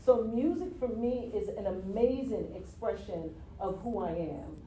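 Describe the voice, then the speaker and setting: A woman from the US Virgin Islands, a guest telling her story in a casual interview, expressive and quick to laugh. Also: medium pitch. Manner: expressive, laughs easily, repeats "yeah". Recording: roomy, from a distance, faint noise.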